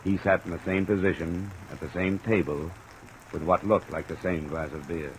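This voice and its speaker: Low gruff-talking radio detective guy acting it, cynical insurance investigator, blunt, old recording w faint hiss. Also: fairly even intonation, moderate pace, lightly acted, poor audio. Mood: matter-of-fact narration. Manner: blunt, hard-boiled, pressing.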